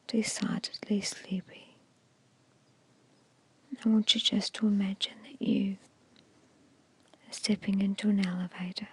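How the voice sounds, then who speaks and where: A woman guiding an ASMR meditation in a hushed, soothing, breathy whisper with short, measured phrases. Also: mid-range voice, rough recording. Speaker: hypnosis and meditation guide.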